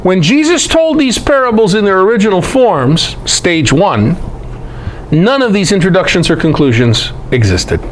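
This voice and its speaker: A man's voice: high-pitched, very animated, normal speed, a little hiss or hum, poor audio. A man giving a conversational Bible lecture to a group, emphatic, posing rhetorical questions.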